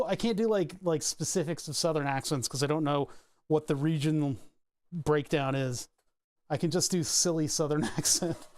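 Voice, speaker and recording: Brief: a rambling hobbyist streamer, a man with a slightly high voice, chatting at his workbench. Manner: rambling and chatty, answers chat, breaks into laughs. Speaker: electronics streamer. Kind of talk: casual live-stream chat while building a circuit.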